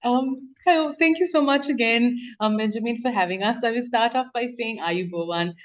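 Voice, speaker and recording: A woman with a Sri Lankan accent, a law lecturer introducing herself on a panel, polished and animated. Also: fairly high pitch, normal speed, lo-fi sound.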